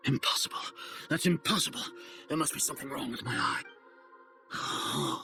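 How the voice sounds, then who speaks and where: An actor playing an arrogant older scheming grand sage in a video game, speaking in a haughty, deliberate, theatrical way. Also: male voice, medium pitch, huge pitch swings, gravelly, over music. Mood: disbelieving, agitated. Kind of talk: dramatic video game acting.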